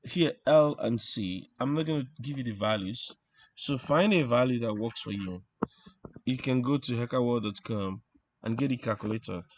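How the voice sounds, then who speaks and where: A man giving an informal technical lesson as he draws, explaining step by step in a halting way and thinking aloud. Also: medium pitch. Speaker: instructor presenting an electronics tutorial. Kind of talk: informal technical lesson, talking while drawing.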